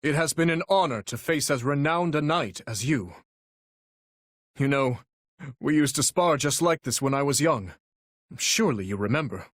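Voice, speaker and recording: An actor playing an earnest, courteous young warrior prince in a video game, speaking formally and resolutely. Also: male voice, medium pitch, expressive, moderate pace, long pauses, no room sound, clean studio sound, lightly acted. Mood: grave, gracious. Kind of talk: video game battle voice lines.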